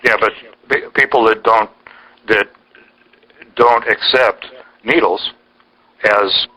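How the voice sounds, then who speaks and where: A man's voice: on the low side, fairly even intonation, takes it slowly, bright, forceful tone. A man talking insistently and informally in a phone conversation, pressing his points as a caller. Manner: insistent, argumentative. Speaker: caller questioning an official. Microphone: telephone. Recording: recorded telephone call.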